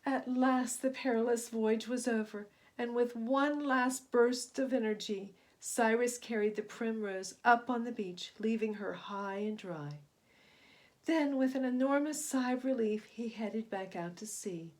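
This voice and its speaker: A woman with a fairly high voice narrates a children's picture book. Her reading is dramatic and emphatic, dropping to a hush and rising to a boom as a storyteller would for young listeners. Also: a little slow. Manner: dramatic, emphatic, varying from hushed to booming. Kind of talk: expressive scripted storybook narration.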